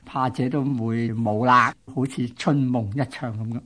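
A man's middle-pitched voice with a Hong Kong Cantonese accent. He talks as a radio co-host, telling history in a chatty, explanatory way with plenty of linking particles. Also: steady tone, a little slow, music in the background. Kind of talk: conversational storytelling of local history.

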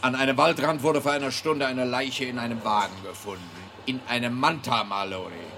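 An actor playing a sarcastic, needling police detective in a radio play. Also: male voice, medium pitch, a little fast, noisy background, lightly acted. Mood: brusque, needling. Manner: sarcastic, taunting.

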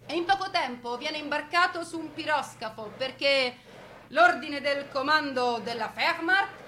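A woman giving a theatrical scripted narration as a stage storyteller, with dramatic, deliberate phrasing. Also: sits high, bright, forceful tone, a little hiss or hum.

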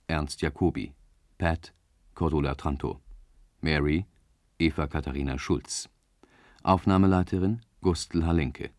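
A man's voice: rumbling low, darkish tone, dry recording, clean studio sound. A man reading a scripted closing announcement as a radio announcer.

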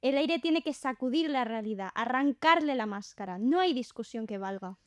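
An actress as a nonconformist woman painter in an audio drama, pitched fairly high and recorded dry. She is defiant yet affectionate, and speaks with emphatic conviction. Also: expressive, moderate pace, no echo, natural voice. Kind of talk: scripted audio drama dialogue.

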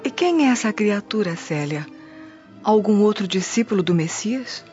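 A woman's voice: medium pitch, expressive, moderate pace, close to an everyday voice. An actress playing a devout, cautious Roman mother, grave and motherly, in a radio drama. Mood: solemn. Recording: muffled lo-fi audio with music behind.

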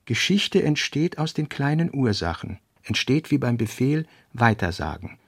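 Thoughtful writer guy reading his notes, raspy and creaky, radio drama, really dry sound. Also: mid-range voice, big pitch swings, moderate pace, no room sound, full character voice. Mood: reflective. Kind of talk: literary radio play reading of aphorisms.

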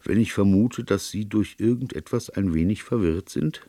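A man voicing a gentle, shrewd priest detective who explains things in a measured way in an audiobook. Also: lowish voice, no echo, pitched above the performer's own voice, close to an everyday voice. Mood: calm, knowing.

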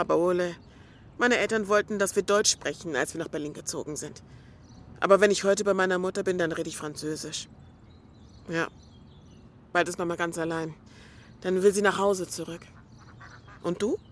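A woman's voice: lowish voice, a little hiss or hum, lightly acted. An actress playing a caring, frank young woman in a radio drama, coaxing and matter-of-fact with her friend. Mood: calm, probing.

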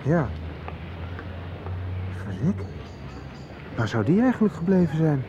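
A man's voice: fairly high pitch, very animated, slow pace. An actor playing a troubled young man searching for answers in a radio drama. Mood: frustrated, puzzled. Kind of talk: 1990s radio drama acting. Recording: dull sound with clearly noisy ambience.

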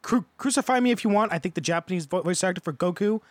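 A man's voice: high voice, fast talker, no room sound. A man co-hosting a podcast, telling things bluntly in a casual group chat. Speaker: podcast co-host.

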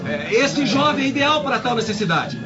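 A servant bidding at market in a radio play, his voice extremely high. He sounds far from the microphone, with loud music over him. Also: very animated, moderate pace. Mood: eager bargaining. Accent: Brazilian. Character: servant buyer bidding for a slave. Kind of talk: theatrical radio drama acting. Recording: distant lo-fi voice under loud music.

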